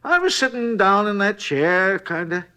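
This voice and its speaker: An actor in a 1950s radio drama playing a talkative, folksy hotel night clerk who rambles in a rustic, ungrammatical drawl. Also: male voice, sits high, lively intonation, sharp tone, smooth, exaggerated voice. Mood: chatty, proud. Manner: rambling, folksy, unhurried storytelling. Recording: vintage 1950s radio recording.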